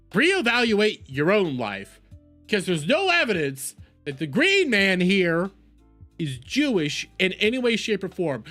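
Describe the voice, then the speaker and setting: A man's voice: high voice, pushed and bright. A man hosting a reaction video, giving heated, casual opinion commentary in an argumentative, exasperated and mocking way, repeating phrases for emphasis.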